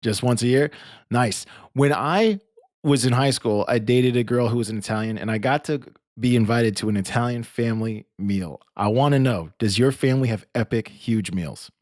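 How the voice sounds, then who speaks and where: A man's voice: medium pitch, normal speed, a little mellow, no room sound, studio quality. A man hosting a podcast interview in a casual, chatty, effusive and informal way. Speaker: podcast host.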